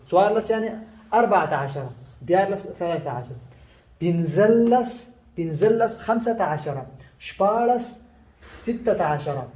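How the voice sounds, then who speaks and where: A man with a high voice teaches a casual lesson, stopping often with fillers and restarts and going back over each word slowly. His first language is Pashto. The room around him is echoey. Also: warm tone. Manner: halting, with many fillers and restarts, repeating words slowly.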